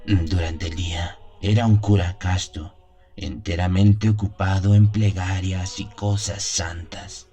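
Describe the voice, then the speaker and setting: Deep-voiced haunted old priest played by a man. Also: steady tone, warm tone. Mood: confessional, grave. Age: 70s as played. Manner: chuckles, sighs, deliberate storytelling with pauses. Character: seventy-year-old country priest, haunted, confessional, wistful. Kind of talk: dramatised first-person radio drama monologue.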